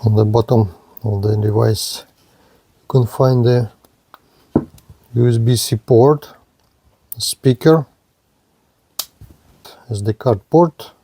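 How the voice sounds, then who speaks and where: Slow, mellow man reviewing gadgets. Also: mid-range voice, fairly even intonation, slightly rough, dry recording. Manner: halting, short plain phrases. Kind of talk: unboxing and product review narration.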